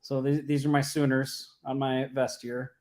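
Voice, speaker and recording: A man's voice: medium pitch, little pitch movement, moderate pace, a little mellow. A man from Oklahoma co-hosting a casual livestream chat, talking loosely with many fillers and restarts. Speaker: co-host. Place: home or office in Las Vegas.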